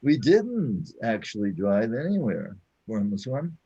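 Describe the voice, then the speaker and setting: A man's voice: on the low side, sing-song, measured pace, mellow, slightly rough, lo-fi sound, heavily acted. A man playing a patient, all-knowing companion in a scripted audio drama, explaining the cosmos in a declamatory way.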